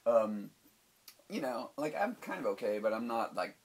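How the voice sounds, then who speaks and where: A man's voice: medium pitch, sing-song, normal speed, slightly rough. A singer-songwriter man talking casually in his recording studio, rambling with lots of fillers and the odd swear word.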